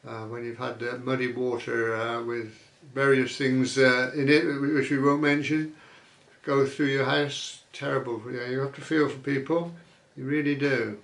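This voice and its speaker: A man hosting a vlog, reading viewer comments aloud and musing on them in an easygoing way, often pausing with "uh". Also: on the high side, vocal fry, a bit bright, roomy sound. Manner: chatty, musing aloud, many "uh" fillers. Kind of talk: casual chat.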